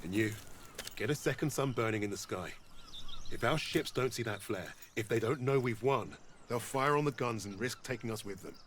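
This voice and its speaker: A man's voice: medium pitch, expressive, normal speed, a bit bright, slight background noise, natural voice. An actor playing a steadfast, daring British paratrooper sergeant who leads his squad in a war game. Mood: hushed, planning. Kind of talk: film-like narration and dramatic military dialogue.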